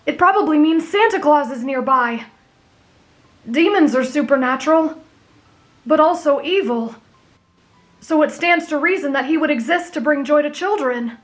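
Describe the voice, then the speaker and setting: A woman's voice: sits high, moderate pace, long pauses, close to an everyday voice. A woman voicing a kind, earnest teenage boy with a sincere, reassuring big-brotherly manner, in an anime fan roleplay.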